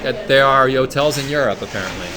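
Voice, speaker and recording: A man's voice: mid-range voice, fast talker, a loud crowd. A man co-hosting a casual talk show in a dry, matter-of-fact conversational way. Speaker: co-host of a web talk show.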